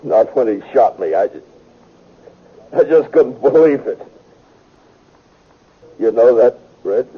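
An American actor playing a wounded townsman in a 1950s radio western, halting and stammering, with a husky, breathy voice. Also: mid-range voice, lively intonation. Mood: weary, shaken. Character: wounded Western townsman, anguished, doubting, stoic about pain. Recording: thin, narrow-band old radio recording.

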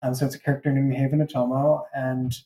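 A man's voice: mid-range voice, flat delivery, fast, dark tone, slight background noise. A man speaking conversationally in an interview, as a writer explaining his creative work. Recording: roomy, echoing sound.

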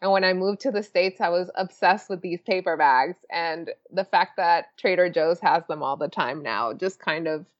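A woman from Venezuela, a guest on a podcast, talking about her life in an enthusiastic, warm way and laughing often. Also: on the low side, moderate pace, hardly any pauses, dull audio. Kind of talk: casual interview.

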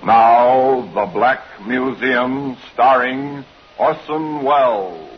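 A man's voice: medium pitch, extremely slow, noisy background. A man announcing a vintage radio programme in a formal, emphatic manner. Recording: muffled old radio recording. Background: dramatic music.

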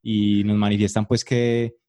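A man's voice: on the low side, level tone, measured pace, mellow. A man, a podcast co-host on a video call, earnestly and steadily explaining plans. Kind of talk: casual chat over a video call.

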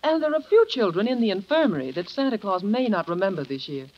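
An actress playing a gracious, playful mother being courted in a 1950s radio sitcom, genteel and gently chiding. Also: medium pitch, big pitch swings, fast talker. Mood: sincere, gracious. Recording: muffled, noisy vintage 1950s radio recording.

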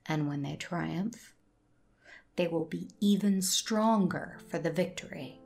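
Stern female Sith sage, measured and gravelly, very slow, for a sci-fi audio drama. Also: on the low side, a little mellow.

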